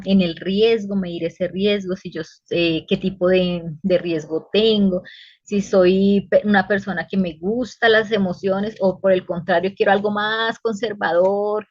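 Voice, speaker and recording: A woman podcast guest working as a financial advisor, explaining retirement saving and investing in a measured, patient way. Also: medium pitch, a little mellow, no echo, highs cut off. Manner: explanatory, measured advice-giving. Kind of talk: casual podcast interview.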